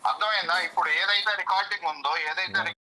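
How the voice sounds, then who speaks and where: Casting: male phone-in caller, piercingly bright and high-pitched, argumentative and defensive, keeps interrupting and repeating himself. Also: fast. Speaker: phone-in caller, a social media follower defending someone. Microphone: telephone call.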